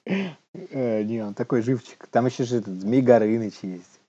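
A man co-hosting a podcast with deadpan, ironic satirical banter, recorded a little muffled. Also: mid-range voice, normal speed, breathy edge. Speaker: podcast co-host.

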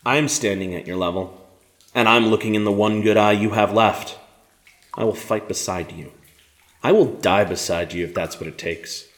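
An actor playing a stern, battle-hardened rebel commander in an audio drama, measured and commanding, with simmering anger held under control. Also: male voice, lowish voice, lightly acted.

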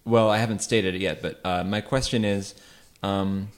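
A man playing an awkward, lovelorn vampire calling an advice show, halting and hesitant, in improvised radio comedy. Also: fairly low pitch, fairly even intonation, lightly acted. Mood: hesitant, troubled. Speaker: caller.

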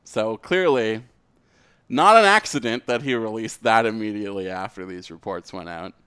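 A man's voice: fairly low pitch, normal speed, fairly bright tone, smooth, no echo, clean studio sound. A man co-hosting a podcast in casual sports chat, talking conversationally and swearing freely. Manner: profane, conversational. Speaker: podcast co-host.

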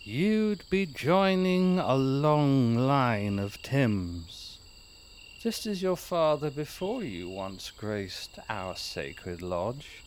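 Male actor as grandiose lodge-leading mayor, fussy and theatrical, measured delivery, night insects faintly behind. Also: mid-range voice, big pitch swings, a little hiss or hum. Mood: officious. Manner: theatrical, commanding, then awkwardly fussy. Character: pompous small-town mayor leading a secret cult lodge. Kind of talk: comedy-horror audio drama acting.

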